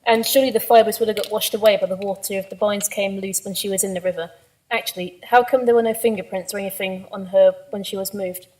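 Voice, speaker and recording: A woman's voice: mid-range voice, fairly even intonation, quick pace, darkish tone, slight background noise, close to an everyday voice, poor audio. A woman playing an inquisitive junior police detective in an amateur radio drama, informal and questioning.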